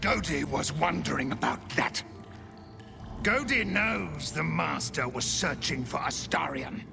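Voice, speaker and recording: An actor playing a cruel undead jailer in a video game, sickly-sweet and servile, with drawn-out taunting speech that refers to himself in the third person. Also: male voice, on the high side, animated, gravelly, over music, full character voice. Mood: sly, obstinate. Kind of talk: cinematic video game voice acting.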